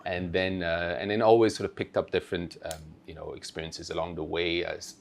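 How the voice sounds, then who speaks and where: Low, gravelly man in an interview. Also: little pitch movement, brisk, slight background noise. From Switzerland-born, raised in Asia. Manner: hesitant, many fillers and restarts. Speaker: guest, company founder. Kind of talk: conversational interview.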